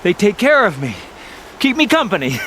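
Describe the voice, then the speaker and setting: An actor playing a tormented, fragile outcast in a video game, haunted by spirit voices and speaking in halting, repeated bursts. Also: male voice, sits high, big pitch swings, bright, forceful tone, a little hiss or hum, heavily acted. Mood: haunted, troubled. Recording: music under the voice.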